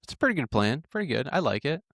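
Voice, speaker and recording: A man's voice: medium pitch, very animated, fast talker, vocal fry, a bit bright, dry recording, clean studio sound. A man in his twenties hosting a podcast, talking casually and hesitantly, often restarting his sentences. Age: 20s. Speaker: podcast host.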